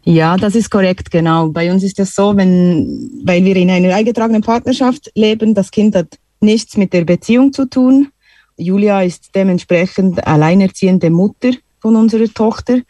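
Mellow-voiced woman in her thirties. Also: medium pitch, moderate pace, no room sound. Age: 30s. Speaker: interview guest. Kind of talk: radio interview answers.

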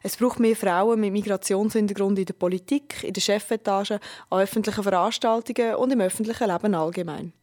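A woman narrates a radio news feature. Her delivery is scripted, measured and even, at a middle pitch. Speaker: radio reporter.

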